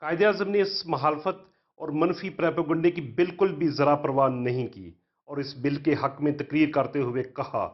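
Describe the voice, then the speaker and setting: A man with a Pakistani accent reading a formal, measured scripted educational narration as a history narrator. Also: on the high side, fairly even intonation, dull audio.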